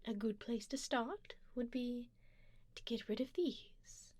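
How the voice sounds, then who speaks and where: Vampire duchess, played by a woman: high, unhurried, refined and gently commanding, intimate ASMR delivery, tender with a predatory edge. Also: a little hiss or hum. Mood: poised, doting. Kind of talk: ASMR roleplay, soft intimate speech slipping into whispers.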